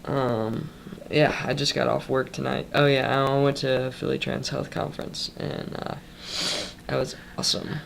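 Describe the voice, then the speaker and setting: A man's voice: medium pitch, little pitch movement, a little slow, creaky, fairly bright tone, a little hiss or hum. A young man giving a casual, rambling vlog update, stuffed up from a cold and tired after a long shift, talking loosely with lots of ums and asides. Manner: loose and chatty, full of ums and trailing asides. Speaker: vlogger.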